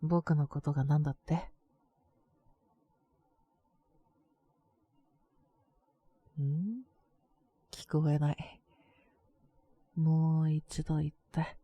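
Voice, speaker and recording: Woman acting a low-voiced, slow, boyish teasing girlfriend. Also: little pitch movement, husky, no echo, full character voice. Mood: teasing, intimate. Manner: teasing, soft, intimate, affectionate. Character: boyish, slightly mean, doting teenage girlfriend. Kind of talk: Japanese romantic situation voice acting.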